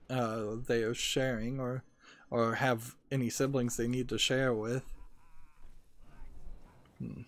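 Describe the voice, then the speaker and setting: A man's voice: medium pitch, a little mellow, dry recording. A young man streaming a card game, rambling through opinions and reading chat messages aloud. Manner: rambling, opinionated, many restarts and filler. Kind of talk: casual commentary while gaming, reading chat.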